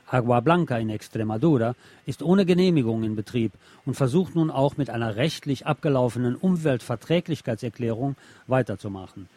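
A man's voice: medium pitch, lively intonation, fairly quick, hardly any pauses, dark tone, no room sound. A man presenting on the radio, reading press articles aloud.

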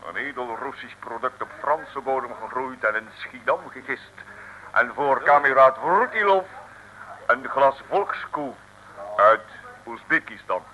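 A man's voice: medium pitch, unhurried, sharp tone, dull audio. An actor playing a grandiose waiter in a comic radio serial, announcing his wares with great ceremony. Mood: ceremonious.

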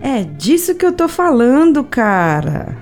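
Female actor as pushy Brazilian pep-talk brain voice: extremely high, brash, slangy, bossy, music behind. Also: sing-song, slow pace, darkish tone, full character voice. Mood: fired up. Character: brash, pushy motivational-coach voice inside a survivor's brain.

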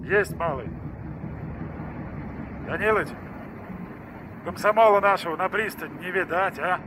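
High-voiced grumbling boat engineer. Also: male voice, a little slow. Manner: folksy, grumbling. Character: grumbling, plain-spoken riverboat engine hand. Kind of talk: Soviet radio play acting. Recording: noisy old mono radio play recording.

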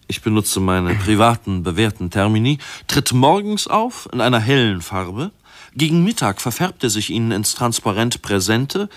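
A man's voice: fairly low pitch, fairly bright tone. An actor playing a pompous, long-winded male medical researcher who lectures didactically in a radio play.

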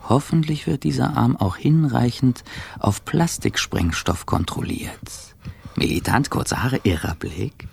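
An actor playing a bitter, seething injured ex-athlete in a radio drama monologue, gravelly and hushed, speaking with deliberate intensity. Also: male voice, mid-range voice, slight background noise. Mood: sardonic, brooding. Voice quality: gravelly, husky, breathy. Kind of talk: radio drama inner monologue in second person.

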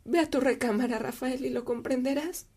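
An actress playing a priest's tender, worried sister in a radio drama, anxious and careful. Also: female voice, high voice, a little fast, a little mellow, slightly rough.

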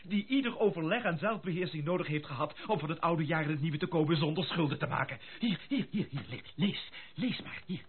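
An actor playing an excitable, effusive young gentleman heir in a radio drama, exclaiming and stammering when agitated. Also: fairly high pitch, brisk, few pauses, lightly acted. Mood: excited, astonished. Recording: muffled lo-fi radio play recording.

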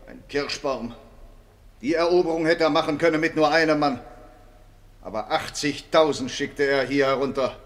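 A man's voice: a little high, normal speed, natural voice. Man as an indignant teacher juror in reverb. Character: sceptical, indignant male teacher juror of the dead. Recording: long hollow reverb.

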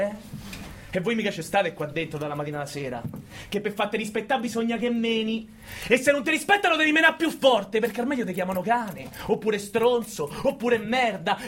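A man's voice: high-pitched, background noise. A man playing a cynical, bullying prison guard in a radio monologue, with a Roman accent and a sardonic, goading manner full of rhetorical questions. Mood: menacing, bitter. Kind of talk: theatrical radio monologue in colloquial dialect.

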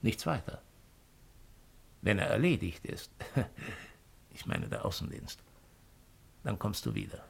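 An actor playing a cold, calculating Roman imperial agent in a radio drama, speaking in a measured, insinuating way. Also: male voice, on the low side, big pitch swings, gravelly, husky, exaggerated voice. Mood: insinuating, calm. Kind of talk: 1950s German radio drama acting.

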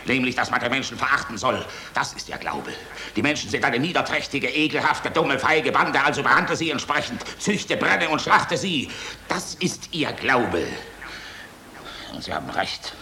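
An actor playing a weary, bitter German general in a radio play, speaking in an emphatic, rhetorical, theatrical way. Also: male voice, mid-range voice, lively intonation, moderate pace, pushed and bright, a little hiss or hum. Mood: bitter, scornful. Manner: emphatic, rhetorical, swinging from gruff to impassioned. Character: weary, bitter German air force general, defiant and tormented. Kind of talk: theatrical radio drama acting.

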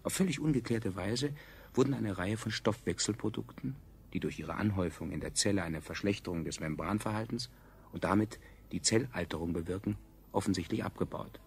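A man acting as the measured, dryly ironic narrator of a 1970s German science fiction radio play. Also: deep voice, gravelly. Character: male narrator recounting a dystopian future, detached and ironic. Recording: 1970s radio recording, faint noise.

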